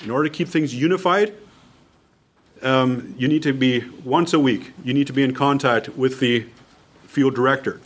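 A man's voice: medium pitch, moderate pace. A man with a Canadian accent giving an informal instructional talk to a group, emphatic and repeating his key points. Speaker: mission leader presenting at a workshop.

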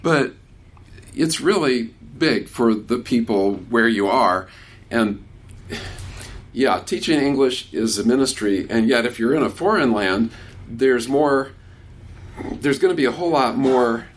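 A man in his sixties talks with a workshop crowd in a relaxed way. He is conversational and often restarts his sentences as he answers questions. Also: medium pitch, a little slow. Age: 60s. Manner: warm, conversational, many restarts. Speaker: workshop speaker. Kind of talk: casual talk with questions from the audience.

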